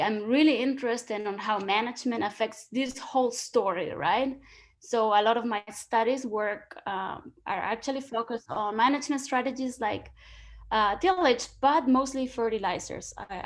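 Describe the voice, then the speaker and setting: A woman with a Spanish accent gives a science talk over a video call. Her voice sits a little high. She explains patiently and often pauses on fillers and restarts her phrases. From Spain. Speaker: guest lecturer, soil ecologist. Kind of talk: online presentation. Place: home or office, video call.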